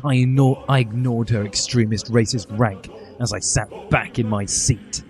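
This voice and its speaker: A man's voice: medium pitch, moderate pace. Man, mid-range voice, emphatic mock-earnest storytelling, stumbling delivery, background music. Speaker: podcast reader of parody fan fiction.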